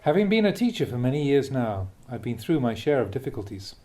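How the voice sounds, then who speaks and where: A man reading a Buddhist Dhamma talk aloud as a monk, in a measured, expressive way with emphatic stresses. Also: mid-range voice.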